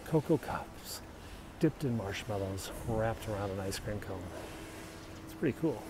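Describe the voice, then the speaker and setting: A man's voice: on the low side, animated, a little slow. A middle-aged man from the United States, chatting casually on a live stream and answering viewers' questions as he walks outdoors, filmed on a handheld phone. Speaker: livestreamer. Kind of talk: casual live-stream chat while hiking. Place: outdoors on a hilltop walk. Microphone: phone held while walking. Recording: noisy outdoor phone recording.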